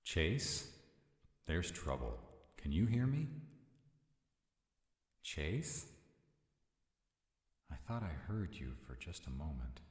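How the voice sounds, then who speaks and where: Man acting a very deep-voiced sarcastic vampire. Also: breathy edge, dry recording, studio quality, exaggerated voice. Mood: tense, exasperated. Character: sarcastic male vampire, protective, wary, quick-witted. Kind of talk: intimate scripted roleplay audio drama.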